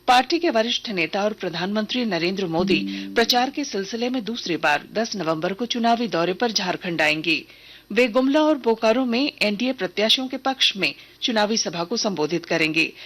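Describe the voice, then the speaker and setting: A woman's voice: mid-range voice, a bit bright. A woman news reader delivering a formal, scripted regional radio bulletin.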